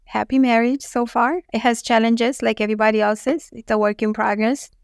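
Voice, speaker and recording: A woman from Romania, a guest in a casual interview, speaking in a calm, reflective and measured way. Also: fairly high pitch, gravelly, clear, resonant tone, no room sound, clean studio sound.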